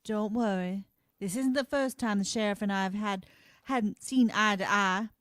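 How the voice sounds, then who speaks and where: A woman playing a kind, earnest and quietly defiant frontier schoolteacher in an amateur radio play, explaining things in a measured way. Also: mid-range voice, no echo, clean studio sound, natural voice. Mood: somber, explanatory.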